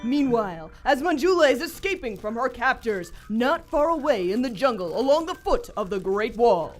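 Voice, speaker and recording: A grand old-time radio serial announcer, played by a woman. Her high voice rises and falls widely as she narrates with theatrical flourish. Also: very animated, normal speed, over music. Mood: grand, suspenseful.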